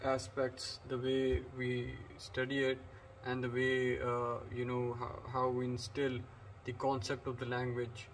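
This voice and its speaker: Man teaching in a flat, hesitant ramble. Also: medium pitch, a little slow, a little hiss or hum, rough recording. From Pakistan. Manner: rambling, hesitant, many fillers and restarts; flat delivery. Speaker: English teacher. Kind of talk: unscripted lecture.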